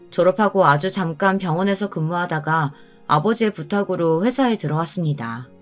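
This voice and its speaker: A woman reading a scripted first-person story as its narrator. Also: fairly low pitch.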